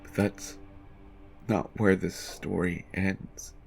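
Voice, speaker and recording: A man playing a distraught, haunted writer in prison, telling his horror story in a halting, stammering first-person monologue. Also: low-pitched, steady tone, creaky, soft background music, full character voice. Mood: grim, dread-filled. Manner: halting, stammering, repeating words. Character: haunted, distraught male writer jailed for his son's death.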